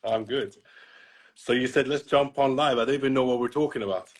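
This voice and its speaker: Man from iran, husky voice, mid pitch, emphatic guest talk, phone call. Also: fairly quick. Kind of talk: opinionated casual interview talk. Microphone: phone on a video call.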